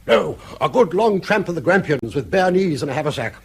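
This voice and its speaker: An old, bankrupt British grandfather in a radio play. He is sardonic, shameless and spoiling for an argument. His husky voice swings widely in pitch as he blusters on. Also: animated, fairly quick, heavily acted. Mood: mocking. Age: older. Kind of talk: British radio drama acting.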